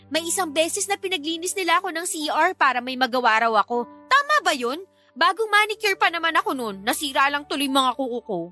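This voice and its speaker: A sulky, defiant young woman in a radio drama. Her voice is high and sharp, whining and indignant as she argues back. Music runs underneath. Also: animated, fairly quick. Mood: whiny complaining. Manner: whiny, argumentative, indignant. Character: sulky, defiant young niece working in uncle's shop.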